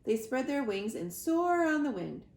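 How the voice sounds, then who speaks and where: Robot mother, read by a woman, calm, formal, precise wording, storybook, echoey room. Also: high-pitched, a little slow, exaggerated voice. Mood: instructive. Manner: formal, precise phrasing without contractions. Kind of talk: children's storybook read-aloud. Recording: roomy echo, clear background noise.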